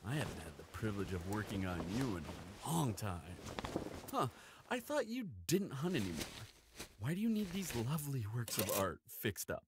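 A man's voice: fairly low pitch, big pitch swings, normal speed, background noise. A man playing a cheeky, shrewd weaponsmith in an audio roleplay, with a playful, theatrical delivery. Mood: shrewd, delighted. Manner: playful, mock-wounded, dramatic. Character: cheeky, theatrical male weaponsmith and shrewd old family friend. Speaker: guest voice actor in audio roleplay. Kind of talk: scripted audio drama acting.

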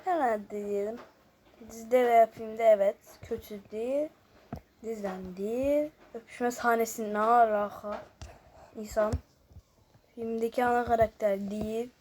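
A woman with a fairly high voice hosts a casual gaming video. She moves between muttered, near-whispered asides and chattier remarks to her viewers. There is faint background noise with some clicking. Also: mellow. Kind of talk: casual chat while playing a guessing game.

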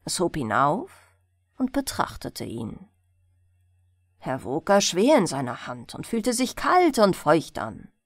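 A woman's voice: on the low side, huge pitch swings, slow, no room sound, studio quality. A woman narrating a fairy tale as a bedtime audiobook.

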